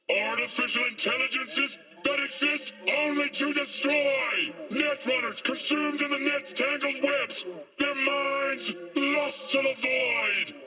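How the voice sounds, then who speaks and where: An actor playing a fiery dystopian street preacher in a video game, ranting in an impassioned, declamatory way. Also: male voice, extremely high pitch, bright, forceful tone, far from the mic, full character voice. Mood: ominous. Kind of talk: dramatic video game monologue.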